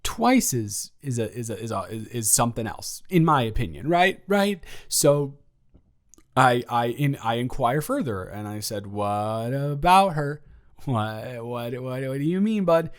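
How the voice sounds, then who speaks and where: A man's voice: mid-range voice, expressive, unhurried, lightly acted. A man playing a flustered, self-deprecating young man who rambles and stammers in an improvised audio roleplay monologue. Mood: stunned, stammering. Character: flustered, rambling young man nervously confessing to his roommate.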